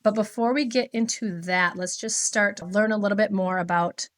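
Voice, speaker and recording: A woman's voice: medium pitch, fairly even intonation, normal speed, fairly bright tone. A woman, speaking as a podcast host introducing and interviewing guests.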